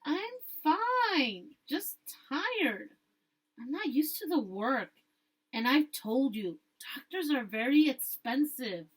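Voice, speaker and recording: A woman's voice: sits high, very animated, slow pace, pitched above the performer's own voice, full character voice, poor audio. Woman as a weary, ailing mother. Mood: weary, reassuring. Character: weary, ailing mother making light of her illness.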